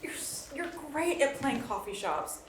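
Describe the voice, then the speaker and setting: A woman's voice: high voice, sing-song, unhurried. A woman playing an anxious, conciliatory young fiancée in a live screenplay table read. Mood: placating. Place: live stage venue. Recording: echoey live room with noise.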